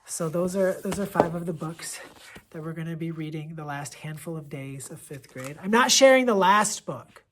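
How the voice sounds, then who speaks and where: A man's voice: fairly high pitch, normal speed, heavy background noise. Enthusiastic American man talking books. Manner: enthusiastic, gushing, emphatic. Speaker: elementary school teacher and book reviewer. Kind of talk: casual book-recommendation vlog.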